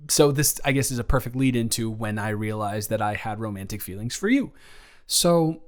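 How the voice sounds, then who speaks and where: Man acting a rambling, lovestruck young guy. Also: medium pitch. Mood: playful, reminiscing. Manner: halting, rambling, self-interrupting, chuckling. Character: nervous young man confessing love to his best friend, playful and rambling. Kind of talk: improvised romantic audio roleplay, intimate casual chat.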